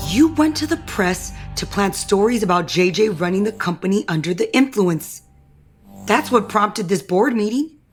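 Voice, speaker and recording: A woman's voice: medium pitch, close to an everyday voice. Brazen scheming young woman, music behind, acted. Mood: accusing, commanding. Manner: taunting, confrontational, self-assured. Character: scheming, brazen ambitious young woman, office power player. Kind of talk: audio soap opera acting.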